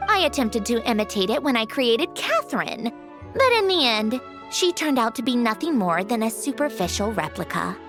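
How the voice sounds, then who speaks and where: A woman's voice: on the high side, sing-song, normal speed, over music. A woman voicing a proud, sardonic female puppet inventor in a video game cutscene, explaining things in a dry, cool, slightly haughty way. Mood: subdued.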